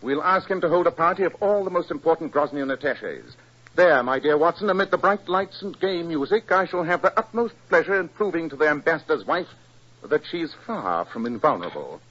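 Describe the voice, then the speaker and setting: An actor playing an incisive, proud English detective with a British accent, precise and emphatic, in 1940s radio style. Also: male voice, a little high, sing-song, fairly quick, fairly bright tone. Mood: frustrated, then keen. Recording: dull 1940s radio recording.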